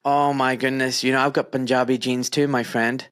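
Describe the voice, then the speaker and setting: A man's voice: medium pitch, little pitch movement, moderate pace, a bit bright, smooth. A man hosting a podcast over a remote call, chatting casually and animatedly with friendly slang and laughter. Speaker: podcast host. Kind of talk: casual interview chat.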